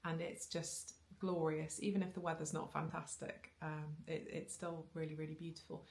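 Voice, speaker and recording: A woman in her thirties talks casually into a camera in her living room, her voice low and touched with vocal fry. She rambles along with ums and restarts, breaking into small laughs. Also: level tone, moderate pace, a little mellow. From Wales. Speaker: knitting vlogger. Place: living room with bookshelves, indoors. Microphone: camera on a tripod facing her.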